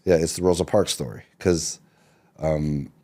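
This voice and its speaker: Very deep, mellow-voiced man telling stories. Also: fairly even intonation, a little slow. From Seattle, Washington. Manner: loose and rambling, many fillers and restarts. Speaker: podcast guest, screenwriter. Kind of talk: relaxed interview storytelling.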